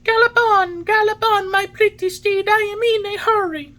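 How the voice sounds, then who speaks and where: A woman's voice: very high voice, lively intonation, normal speed, bright, forceful tone, voice pushed up, exaggerated voice. A woman voicing a childlike, emotional little wooden puppet boy in a storybook, with a plaintive, exclamatory delivery. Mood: eager, excited.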